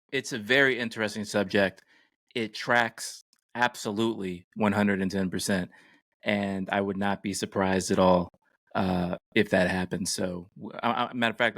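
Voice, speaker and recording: Man, lowish voice, dry close sound, casual podcast chat, home studio co-host. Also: little pitch movement, normal speed, vocal fry, a bit bright, dry recording.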